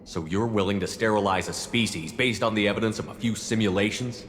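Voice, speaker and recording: Man playing a deep, brisk, blunt commander. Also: fairly even intonation, natural voice. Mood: confrontational. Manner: direct, probing, confrontational. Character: blunt, challenging human male military commander. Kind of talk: video game cutscene acting. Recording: long reverb with music underneath.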